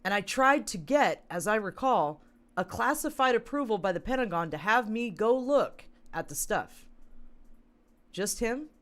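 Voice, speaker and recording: Brief: woman co-host, hoarse from a long talk, casual and rambling, fillers and throat clearing. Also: mid-range voice, lively intonation, a little slow. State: hoarse, losing voice. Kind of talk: casual chat, reading news aloud.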